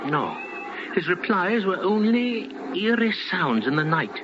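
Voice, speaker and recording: A man narrating as a storyteller in a theatrical 1950s radio style, with deliberate dramatic phrasing. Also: sits high, very animated, noisy background. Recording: muffled old radio recording, music behind.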